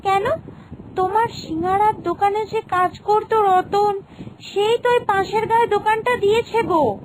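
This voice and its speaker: A woman's voice: very high-pitched, unhurried, a little hiss or hum, pitched above the performer's own voice, rough recording. A woman voicing an eager young village girl in a cartoon, gushing and familiar as she chats. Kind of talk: Bengali cartoon voice acting.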